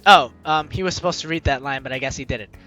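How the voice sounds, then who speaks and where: A man's voice: mid-range voice, quick pace, pushed and bright. A man hosting a gaming video, reading game narration aloud and chatting casually with playful asides. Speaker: gaming video host, let's player. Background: game music.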